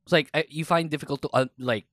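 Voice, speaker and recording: A man's voice: a little high, fast, fairly bright tone, dry recording, studio quality. A young man with a Filipino accent hosting a casual podcast interview, laughing often and switching between English and Tagalog. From Philippines. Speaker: podcast host.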